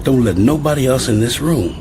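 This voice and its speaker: An actor playing a deferential, wary sleeping-car attendant in a radio drama, with folksy speech. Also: male voice, expressive, a little fast, close to an everyday voice. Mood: wary, warning. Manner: folksy, servile, colloquial speech. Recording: noisy radio drama, train rumble behind.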